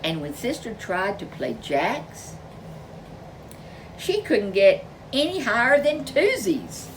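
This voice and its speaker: Very animated woman reading a children's story. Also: fairly high pitch, a little hiss or hum, poor audio. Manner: animated, drawn-out emphasis with chatty asides. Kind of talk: expressive read-aloud narration for young children.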